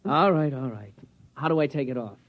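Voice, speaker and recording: Wry, mid-pitched space explorer played by a man. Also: lively intonation, brisk, close to an everyday voice. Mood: grumbling. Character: grumbling, put-upon male space explorer. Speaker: narrator and lead character. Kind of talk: 1950s radio drama acting and narration. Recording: dull vintage radio recording.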